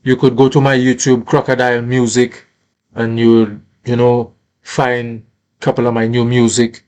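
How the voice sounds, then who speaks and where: A man's voice: mid-range voice, monotone, moderate pace, a little mellow. Saint Lucian man chatting casually. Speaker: musician being interviewed. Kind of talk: casual interview chat.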